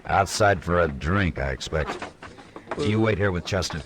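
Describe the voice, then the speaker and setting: Actor as a deep-voiced, laconic frontier marshal. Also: male voice, normal speed, close to an everyday voice. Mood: dry restraint. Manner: laconic, understated, dryly sceptical. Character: stoic, dry-spoken frontier marshal in the Old West. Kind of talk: 1940s-50s radio western acting. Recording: noisy, crackly old radio recording.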